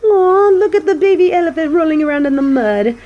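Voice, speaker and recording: A woman playing a snobby but secretly soft fallen angel in a scripted audio roleplay, with prim, formal phrasing. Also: sits high, animated, brisk, highs cut off. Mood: excited.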